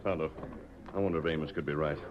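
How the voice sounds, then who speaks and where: An actor playing a resolute, commanding masked Western hero with an American accent in a radio western. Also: male voice, rumbling low, steady tone, quick pace. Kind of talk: 1940s radio western acting. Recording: noisy muffled vintage radio recording.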